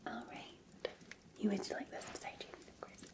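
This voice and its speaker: A Scottish woman whispering softly and breathily in a gentle, soothing, unhurried ASMR roleplay as a café barista.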